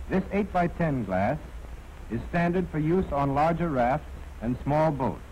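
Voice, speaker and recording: An American man reading scripted 1940s training film narration in a measured, formal, instructive manner, on an old film soundtrack. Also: on the high side, darkish tone, muffled recording, slight background noise.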